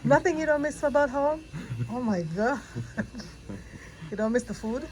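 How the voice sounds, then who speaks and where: A woman's voice: high voice, unhurried, rough recording. Chatty woman from Jamaica in a nail salon. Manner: chatty, relaxed, laughs often. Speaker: vlogger. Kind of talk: casual vlog chat.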